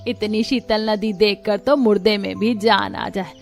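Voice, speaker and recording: A woman's voice: mid-range voice, steady tone, unhurried, soft background music, natural voice. A woman voicing a wry, practical old village mother-in-law in a cartoon story, plain-spoken and scolding. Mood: wry, resigned. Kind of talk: Hindi cartoon story dub.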